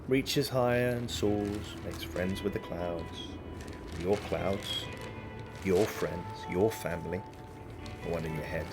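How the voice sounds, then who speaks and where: A man with a lowish voice reads a spoken word poem slowly and deliberately, letting each phrase sit. Guitar music plays clearly behind him. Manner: slow, deliberate recital. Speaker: poetry reader. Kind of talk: spoken word poetry reading. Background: soft guitar music.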